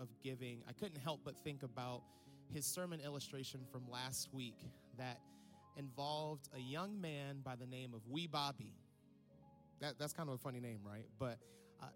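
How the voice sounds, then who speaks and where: A man serving as worship pastor, speaking to the church in an upbeat, conversational way and asking for the congregation's response. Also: medium pitch. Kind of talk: church announcement.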